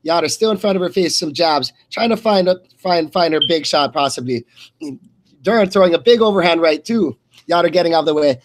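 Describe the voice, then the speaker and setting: High-pitched man calling a fight, stumbling. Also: animated. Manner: halting, repeats words and stumbles mid-phrase. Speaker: play-by-play commentator. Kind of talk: live blow-by-blow sports commentary.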